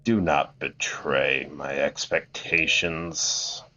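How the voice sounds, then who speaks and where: A man voicing a grandiose, menacing male demon king villain with slow, melodramatic delivery. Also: rumbling low, fairly even intonation, bright, forceful tone, slight background noise, voice pushed down, full character voice. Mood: commanding. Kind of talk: reading game dialogue aloud in a put-on voice.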